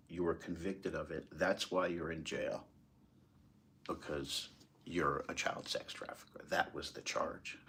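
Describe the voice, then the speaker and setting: An American man giving impassioned, off-the-cuff commentary in a halting, emphatic way. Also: low-pitched, vocal fry, fairly bright tone, breathy edge. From United States, moved there as a small child. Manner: halting, emphatic, rhetorical questions. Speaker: political commentator.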